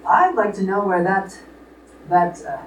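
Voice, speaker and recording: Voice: mid-range voice, lively intonation, measured pace, close to an everyday voice. An actor as blustering stern father, echoey and distant. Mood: suspicious, determined. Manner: blustering, threatening, indignant. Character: stern, suspicious, wealthy old father. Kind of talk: old-fashioned comic radio play acting. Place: live radio play performance in a room. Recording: distant echoey live stage, noisy.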